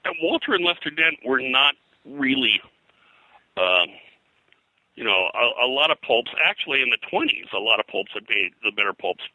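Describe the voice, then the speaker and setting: A man's voice: mid-range voice, lively intonation, moderate pace, sharp tone, no echo, poor audio. An American man speaking as an interview guest, a pop culture historian telling detailed anecdotes in a rambling, digressive way.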